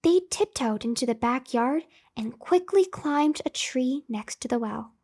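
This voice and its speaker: High-voiced woman reading bedtime stories slowly. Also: lively intonation, dark tone. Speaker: storyteller and host. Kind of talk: scripted bedtime story narration for children.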